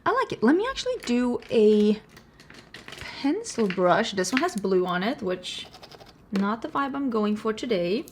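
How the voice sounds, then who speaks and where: A woman's voice: a little high, big pitch swings, measured pace, a little hiss or hum. A woman from Sweden with a Swedish accent, chatting casually through a makeup tutorial in a self-deprecating, laughing way. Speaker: beauty vlogger.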